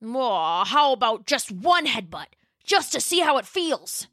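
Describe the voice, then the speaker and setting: Woman doing a lazy low drawl dino dude, curious, takes his time. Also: very animated, unhurried, fairly bright tone, no echo. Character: curious young male pachycephalosaurus, easygoing.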